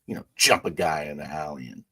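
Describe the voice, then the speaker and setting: A man's voice: very deep voice, fast, bright, forceful tone, slightly rough. A man improvising first-person narration as a player in a tabletop roleplaying game.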